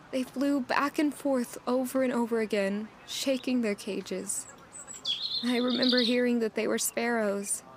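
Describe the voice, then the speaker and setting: A woman's voice: sits high, dry recording. A woman playing an earnest, anguished young maid turned lady-in-waiting in a fantasy audio drama, speaking in an emotive, introspective monologue.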